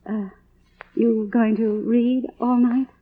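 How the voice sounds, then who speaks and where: An actress playing a needy, grandiose middle-aged film star in an old radio drama, speaking theatrically in halting, drawn-out phrases. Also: female voice, fairly high pitch, flat delivery, slightly rough. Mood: anxious, fragile. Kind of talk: mid-century radio drama acting. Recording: tinny, narrow old radio broadcast.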